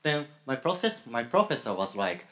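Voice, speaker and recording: A young man with a Japanese accent on a graduate student panel, speaking haltingly with many fillers and pauses. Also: medium pitch, big pitch swings, fairly bright tone. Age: young adult. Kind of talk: panel talk. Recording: echoey room.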